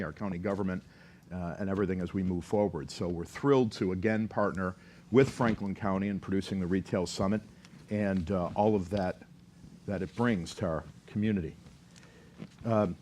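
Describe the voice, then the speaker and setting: A man moderates a panel discussion and speaks in a conversational way, with a few fillers along the way. His voice is somewhat low, and a light hiss sits under the recording. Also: darkish tone.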